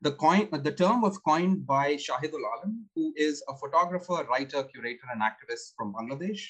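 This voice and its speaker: Husky, formal-speaking male guest. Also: medium pitch, a bit bright, rough recording. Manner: measured and formal. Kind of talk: academic explanation.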